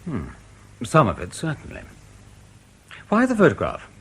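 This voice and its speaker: An actor in a radio drama playing a cool, sceptical intelligence officer, clipped, dry and politely probing. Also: male voice, on the high side, huge pitch swings, moderate pace, fairly bright tone, husky, exaggerated voice.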